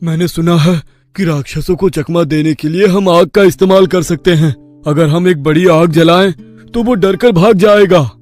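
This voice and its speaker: A man voicing a brave, determined villager in an animated story, speaking in an earnest, rallying, declamatory way. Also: a little high, moderate pace, faint music behind, natural voice. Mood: defiant. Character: brave, determined male village friends defying a demon.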